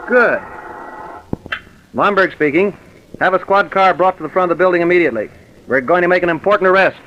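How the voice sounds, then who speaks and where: An actor playing a stern, insistent police commissioner with deliberate authority, in old-time radio drama style. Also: male voice, high-pitched, natural voice. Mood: brisk, commanding. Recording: dull, hissy vintage radio recording.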